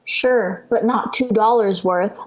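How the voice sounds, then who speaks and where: A woman's voice: mid-range voice, slow pace, natural voice, poor audio. A female performer playing a dramatic, pleading, bratty little girl in a 1940s radio sitcom. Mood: self-pitying. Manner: melodramatic, insistent, plaintive. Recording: vintage 1940s radio broadcast.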